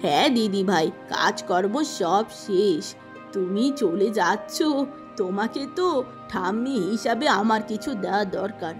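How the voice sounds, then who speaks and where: A woman voicing a kindly, humble old village maidservant granny, in a cartoon. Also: a little high.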